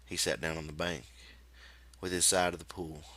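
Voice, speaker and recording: A man reading literary fiction aloud as an audiobook narrator, with measured, deliberate phrasing. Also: rumbling low, steady tone, pushed and bright, no room sound. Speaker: audiobook reader.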